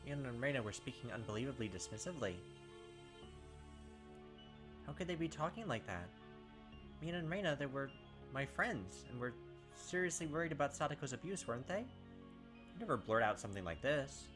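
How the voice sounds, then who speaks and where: A man hosts a game read-through in a medium-pitched voice. He narrates dramatically and drops in casual, sweary asides, with music playing behind him. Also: sing-song, brisk. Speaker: gaming video host.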